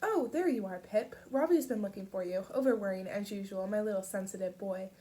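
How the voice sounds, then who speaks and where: Woman putting on a bright, chatty mother. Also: medium pitch, brisk, close to an everyday voice.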